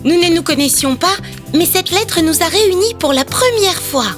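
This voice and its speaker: A woman's voice: very high-pitched, animated, moderate pace. A woman voicing a polite, organised young girl who speaks for her friends in a children's cartoon. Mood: earnest. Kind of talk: dubbed children's cartoon acting. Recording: children's music behind the voice.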